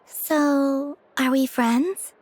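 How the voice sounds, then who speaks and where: A woman voicing a gentle, naive, serenely blunt young woman in a video game, soft and unhurried. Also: high-pitched, steady tone, soft background music, low-quality recording. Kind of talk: English video game voice acting.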